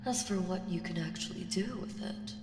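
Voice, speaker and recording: A woman voicing an ancient primordial evil in an ASMR audio roleplay, seductive, taunting and menacing, speaking deliberately and teasingly with breathy whispers. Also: fairly low pitch, lightly acted. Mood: commanding, smug. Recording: very echoey, long reverb, music behind. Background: music.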